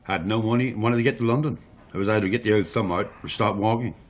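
A man's voice: fairly low pitch, fast, lightly acted. A man in a radio drama playing a guarded hitch-hiker being questioned, terse and offhand. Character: guarded, defensive male hitch-hiker under police questioning. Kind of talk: naturalistic radio drama acting.

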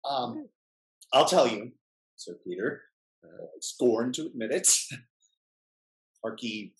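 A middle-aged male actor playing a smooth-talking, hypocritical gentleman schemer in a theatrical period stage comedy. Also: mid-range voice, animated, slow, poor audio. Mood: evasive. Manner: theatrical, emphatic period speech. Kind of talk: Restoration-style stage comedy over video call. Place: indoor room, video call.

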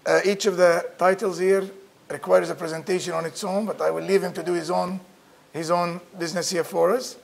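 A man's voice: high-pitched, little pitch movement, a little fast, gravelly. A middle-aged man giving a formal introduction at a podium microphone in a lecture room, speaking with some hesitant restarts.

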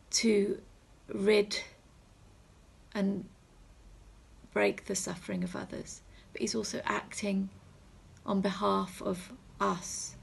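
Creaky, husky woman. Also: mid-range voice. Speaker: reverend leading a school assembly. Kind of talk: gentle reflective assembly talk and prayer for children. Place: small room at home. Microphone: phone or webcam at arm's length.

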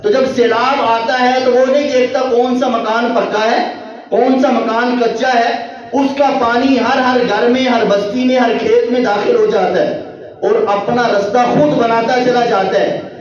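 A man's voice: very high-pitched, fairly even intonation, a little slow. Young man lecturing in an echoey hall. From Pakistan. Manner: explanatory, building points with repeated parallel phrases; gesturing with both hands while speaking. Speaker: speaker at an organizational convention. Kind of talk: lecture to an audience. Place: indoor convention hall with banner behind. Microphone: stand mic at a podium. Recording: very echoey hall, distant lo-fi mic.

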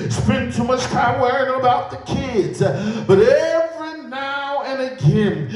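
A man's voice: very high-pitched, expressive, slow, far from the mic, faint music behind. A man preaching a sermon in an emphatic, repetitive style, punctuating his phrases with devout interjections. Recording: echoey room, picked up from afar.

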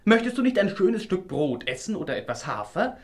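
An actor playing a bold, teasing, good-hearted young hero in a fairy-tale audio drama. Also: male voice, high voice, very animated, fairly quick. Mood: taunting.

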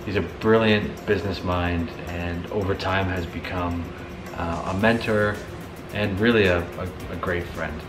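A man's voice: low voice, steady tone, unhurried. A man in his thirties with a Canadian accent, speaking casually as a startup founder in a documentary interview. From Thorold, Ontario, Canada. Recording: echoey room with music underneath. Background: music.

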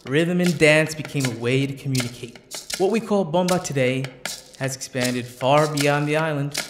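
A man playing a warm, encouraging bomba bandleader in an audio drama. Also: a little high, normal speed, lightly acted. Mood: welcoming, proud. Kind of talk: audio drama acting, addressing a festival crowd. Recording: clear background noise of festival scene.

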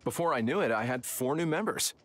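A man's voice: medium pitch, lively intonation, a little fast, a little hiss or hum, lightly acted. An actor playing an upbeat, earnest young man with a polished, sociable manner in a video game. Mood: pleased. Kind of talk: video game character dialogue.

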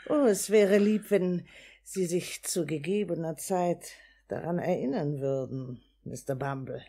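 An actress playing a harsh but fawning orphanage matron in a 1950s German radio drama. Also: female voice, measured pace, mellow, husky. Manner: shrill scolding toward inferiors, obsequious toward superiors.

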